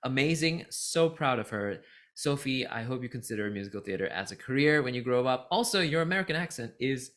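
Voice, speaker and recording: Bright-voiced man from Singapore reviewing casually. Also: medium pitch, lively intonation, fairly quick. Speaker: vlogger reviewing musicals, voice actor and teacher.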